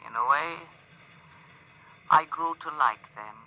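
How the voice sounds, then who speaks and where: A man playing a gentle, reflective male alien space traveler through a radio transmission filter, in 1950s radio acting style. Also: a little high, slow, creaky, phone-quality sound. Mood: wistful. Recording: sharp, noisy overheard radio transmission.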